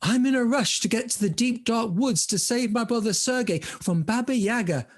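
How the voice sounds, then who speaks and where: A storyteller voicing a kind young fairy-tale girl who sounds hurried, anxious and breathy. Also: mid-range voice, voice pushed up, natural voice. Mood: rushed, anxious.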